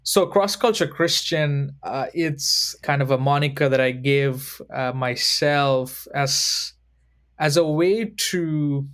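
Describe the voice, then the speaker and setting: A man's voice: fairly high pitch, a bit bright. Thoughtful man in his thirties. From Sri Lanka. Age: 30s. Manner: measured and thoughtful, often slowing to choose words. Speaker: podcast guest. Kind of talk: reflective conversation.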